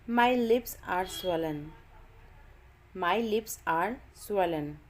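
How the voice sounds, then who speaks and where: Female English tutor, unhurried, clear sentence drills for beginners. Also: fairly low pitch, expressive, a little mellow. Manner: reads each sentence twice, measured and deliberate. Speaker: English teacher. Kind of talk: slow repeat-after-me vocabulary drill.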